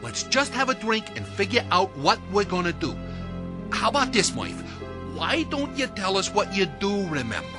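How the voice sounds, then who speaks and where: An actor playing a kindly, folksy peacemaker in a comic radio drama, placating in manner. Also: male voice, high voice, big pitch swings, normal speed, music in the background. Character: folksy male peacemaker, a kind soul.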